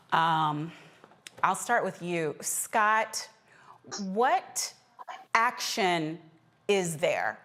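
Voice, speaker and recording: Slow, deliberate woman news host. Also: lowish voice, a bit bright. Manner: deliberate, emphatic. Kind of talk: news reporting and panel questioning.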